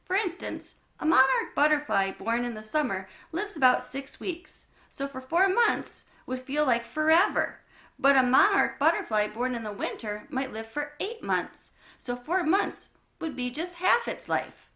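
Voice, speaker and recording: A woman reading a children's book aloud in a warm, expressive storytelling manner. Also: sits high, measured pace.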